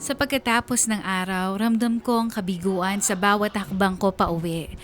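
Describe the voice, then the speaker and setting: A heartbroken letter writer voiced by a woman narrates in the first person. Her medium-pitched voice is reflective and emotional, phrased deliberately over soft background music. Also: steady tone, close to an everyday voice. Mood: wounded.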